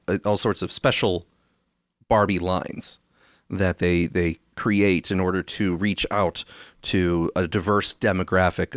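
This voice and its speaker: A man's voice: low-pitched, no echo, studio quality. A man hosting a podcast with casual commentary, wry and roundabout in the way he talks. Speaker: podcast host.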